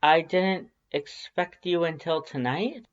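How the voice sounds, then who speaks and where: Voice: medium pitch, takes it slowly, pitched above the performer's own voice, lightly acted. An awkward, sardonic homebody , played by a story narrator, speaking in a hesitant, self-deprecating way. The delivery is casual and the sound is slightly dull. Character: awkward, sardonic, sleepy homebody telling their own day.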